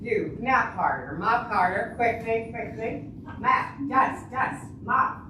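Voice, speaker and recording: A woman's voice: on the high side, lively intonation, takes it slowly. A woman in an amateur stage reading playing a fussy, grandly demanding diva opera singer, effusive and commanding. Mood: imperious, demanding. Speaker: amateur reader's theater performer. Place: large reverberant indoor room, distant mic. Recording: echoey hall from far off, noisy.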